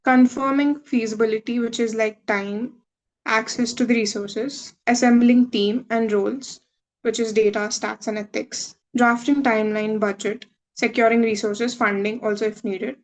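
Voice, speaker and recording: A woman's voice: fairly high pitch, poor audio. A woman in her twenties from India, a medical student giving a webinar talk over a video call, explaining steadily with some filler uhs. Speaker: guest speaker, medical student. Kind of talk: webinar presentation reading slides.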